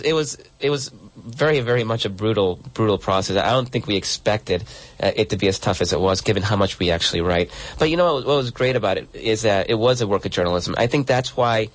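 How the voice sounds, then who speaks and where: Earnest man with a low voice. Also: low-quality recording. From El Salvador. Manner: earnest, frequent restarts and repeated words. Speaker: guest author and blogger. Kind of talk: conversational interview answers.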